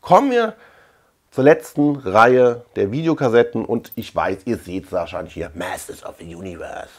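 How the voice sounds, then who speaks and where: A man's voice: on the low side, expressive, moderate pace. A man in his thirties talking casually in a chatty, digressive way, thinking aloud as he hosts a collector vlog. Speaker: host of a collector video channel. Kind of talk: casual rambling vlog talk. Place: small indoor room lined with shelves.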